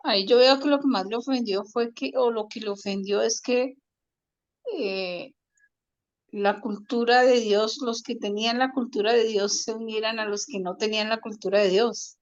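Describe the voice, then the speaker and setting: A woman's voice: a little high, steady tone, normal speed. Hesitant woman answering from the pews. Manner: hesitant, searching for words. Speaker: congregation member answering. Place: church. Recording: dull audio from away from the mic.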